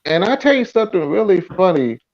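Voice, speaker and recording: Man, folksy live-stream host, fairly high pitch, relaxed and chatty. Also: a little fast, muffled recording. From Virginia, USA. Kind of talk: casual chat.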